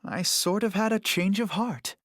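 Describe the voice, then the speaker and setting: An actor playing a proud, soft, artistic young prince in an English anime-dub audio drama, polished and genteel. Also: male voice, high-pitched, moderate pace, no echo, studio quality. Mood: reflective.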